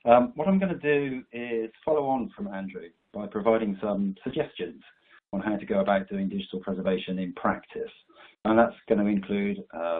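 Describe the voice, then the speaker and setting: Low husky man with a stuffy cold. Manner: steady, explanatory delivery. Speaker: webinar presenter. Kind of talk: prepared slide presentation. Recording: tinny online call audio.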